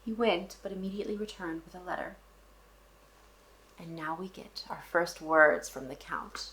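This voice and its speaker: A woman's voice: on the low side, normal speed, a bit bright, low-quality recording. A woman reading a classic novel aloud and explaining it, in a casual manner with wry asides to the characters. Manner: frequent fillers and restarts, thinking aloud. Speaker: book channel host.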